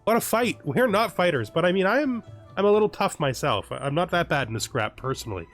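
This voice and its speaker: A man's voice: sits high, very animated, fast talker, a bit bright, faint music behind. Man muttering through a strategy game. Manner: thinks aloud, hesitant, often corrects himself. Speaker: let's play host. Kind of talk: casual gameplay commentary with read-out game text.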